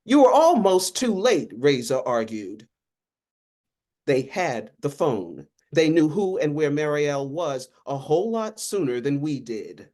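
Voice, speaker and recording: A woman's voice: low voice, very animated, a little slow, a little mellow. A woman reading the part of an indignant, protective female lawyer, emphatic and protesting. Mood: accusing.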